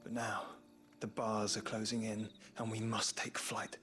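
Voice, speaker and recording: An actor playing a frail, reflective young prince who speaks in a formal, measured way in a video game cutscene. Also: male voice, fairly low pitch, completely flat delivery, over music, natural voice. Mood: pensive. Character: frail, thoughtful young man of royal rank.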